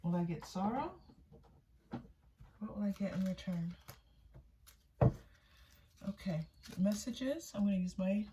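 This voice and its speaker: A woman's voice: mid-range voice, a little slow, long pauses, noisy background. A woman giving a casual, intuitive tarot reading for viewers, often repeating phrases for emphasis. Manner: voices another person's thoughts in first person. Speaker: tarot reader, channel host.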